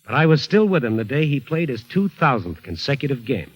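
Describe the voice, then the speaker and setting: A man's voice: mid-range voice, sing-song, noisy background, natural voice. A man playing a jovial wisecracking best friend. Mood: reflective. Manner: brisk, jovial. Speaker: narrator and character. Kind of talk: 1940s radio acting.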